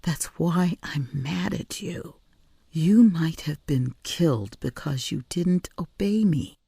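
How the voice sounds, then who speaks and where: Woman as a low, curt, tough female burglar. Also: animated, takes it slowly, creaky, warm tone, breathy edge, no echo, studio quality, exaggerated voice. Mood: stern, protective. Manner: curt, stern, demanding. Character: tough, protective female cat burglar with a knife.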